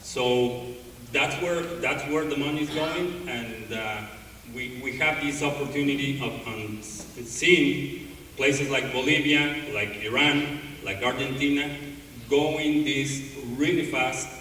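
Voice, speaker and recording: A man's voice: medium pitch, slow, pushed and bright. A man giving a conference talk, full of fillers and restarts. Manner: hesitant, many fillers and restarts. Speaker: conference speaker. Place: large conference hall. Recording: echoey hall, distant mic, faint noise.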